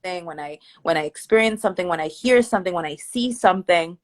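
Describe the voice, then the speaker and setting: A woman's voice: lowish voice, lively intonation, fast talker. A woman therapist whose first language is not English, talking through her thoughts in a reflective, searching way on a casual live stream. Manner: reflective, thinking aloud with many "like" fillers and restarts. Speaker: therapist guest.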